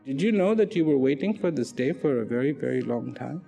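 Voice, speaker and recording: A man giving a reflective public talk, slow and emphatic, swinging from loud declarations to hushed, almost whispered lines. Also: medium pitch, expressive, gravelly. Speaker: speaker. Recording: long hall reverb with faint music.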